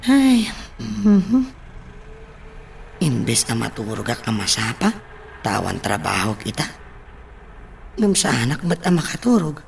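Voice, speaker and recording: Hopeful lovestruck young man, male actor, radio romance, animated intonation, slightly distant with some noise. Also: mid-range voice, slow pace, creaky, pauses often, exaggerated voice. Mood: dreamy, restless. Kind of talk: radio drama acting.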